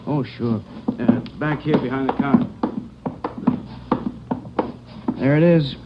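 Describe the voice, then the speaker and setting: An actor playing a chatty, folksy pawn shop owner in a 1940s radio drama, talking emphatically and repeating himself. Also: male voice, mid-range voice, moderate pace, noisy background, close to an everyday voice. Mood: helpful. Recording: dull vintage 1940s radio recording.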